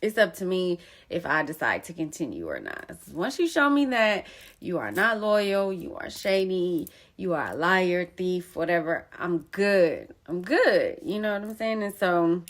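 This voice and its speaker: A woman's voice: fairly low pitch, big pitch swings, measured pace. A young woman telling her own story of betrayal in an emphatic, casual way with plenty of slang. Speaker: poet and storyteller. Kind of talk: candid personal storytelling.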